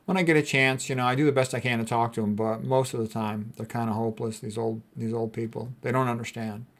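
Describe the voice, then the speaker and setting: A man's voice: mid-range voice, steady tone, fast talker. Man giving a blunt, informal medical lecture. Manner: blunt, conversational, explanatory. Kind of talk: informal lecture, reading out and commenting on quotes.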